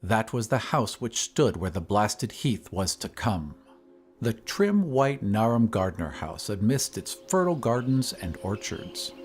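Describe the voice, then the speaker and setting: A man reading a horror story as scripted dramatic narration, in a measured, literary storytelling manner. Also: on the low side, sing-song, music in the background. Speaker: narrator of an audio drama podcast. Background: ambient sound effects.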